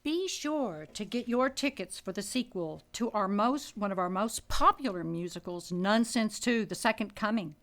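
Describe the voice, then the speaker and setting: A woman's voice: medium pitch, animated, normal speed, hardly any pauses, a bit bright. Female announcer for scripted promo spots, middle-register voice.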